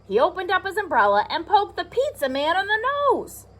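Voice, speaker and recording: A woman reading a picture book aloud to young children, expressive, with chatty asides to her listeners. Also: very high voice, fairly quick. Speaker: pre-K teacher reading aloud.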